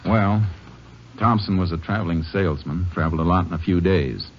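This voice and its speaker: An actor playing a calm, methodical Texas Ranger detective in a 1950s radio drama, reasoning in a plainspoken way. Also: male voice, sits low, a little fast, slight background noise, natural voice. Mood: thoughtful. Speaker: Texas Ranger lead and narrator. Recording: dull lo-fi 1950s radio broadcast.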